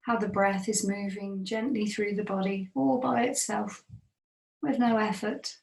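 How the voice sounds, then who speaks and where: Woman, meditation teacher, mid-range voice, gentle guided body scan, unhurried with long pauses, roomy echo. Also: medium pitch, fairly even intonation, gravelly. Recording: roomy, echoing sound.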